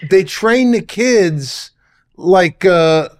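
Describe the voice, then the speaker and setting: A man's voice: high-pitched, animated, drawn-out words. A man hosting a casual talk show, a comedian and father who rambles with jokey comparisons. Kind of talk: casual chat.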